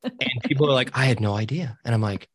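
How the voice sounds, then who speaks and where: A man with a high voice and a cackling laugh, a podcast guest talking casually and cheekily with many restarts. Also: quick pace, husky. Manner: animated, cheeky, rambling with restarts. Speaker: podcast guest, social media co-founder. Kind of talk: casual interview talk.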